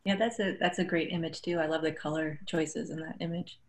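Lower-voiced woman, polite panel moderator. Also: steady tone, fairly quick. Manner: brief, polite introductions.

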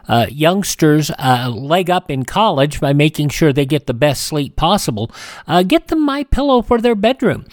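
A man's voice: fairly high pitch, no echo, clean studio sound. A man hosting a radio show, giving a casual, ad-libbed sponsor read in a folksy way.

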